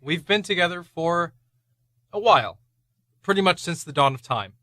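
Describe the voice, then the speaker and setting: Guy doing an easygoing old-soul cottage host, bright voice, dry close sound. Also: high voice, animated, normal speed, no echo. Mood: calm, wry. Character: Bear, a wise, welcoming man who writes plays. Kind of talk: amateur radio play.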